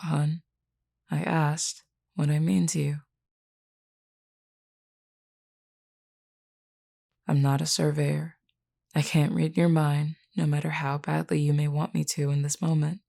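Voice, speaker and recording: A woman playing a flirty, self-assured female werewolf in an intimate audio roleplay, teasing and blunt, with a low, mellow, gravelly voice. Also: flat delivery. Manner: teasing, blunt, unhurried, uses pet name "hun".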